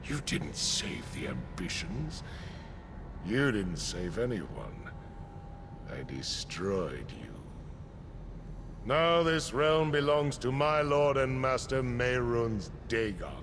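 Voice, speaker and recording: Arrogant dark priest, slow delivery, mid-pitched, taunting, theatrical game villain, noisy backdrop. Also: male voice, very animated. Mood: gloating menace. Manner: pompous, taunting, deliberate. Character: gloating villainous high priest, arrogant and menacing.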